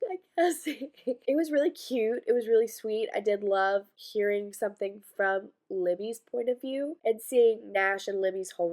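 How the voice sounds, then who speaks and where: Bubbly young woman with a stuffy cold. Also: mid-range voice. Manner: bubbly, chatty, gushing with fillers like "like". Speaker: book reviewer. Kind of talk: casual book review vlog.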